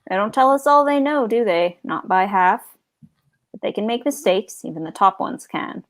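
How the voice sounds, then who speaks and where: A woman voicing a suspicious, sardonic male orc captain who grumbles in rough colloquial speech, in a fantasy storybook. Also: fairly low pitch, normal speed, lightly acted. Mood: suspicious, sly.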